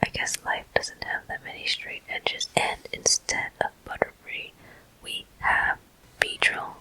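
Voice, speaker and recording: Slow whispered ASMR game commentary, very hushed. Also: rough recording. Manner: slow, unhurried phrases with pauses. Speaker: gameplay walkthrough host.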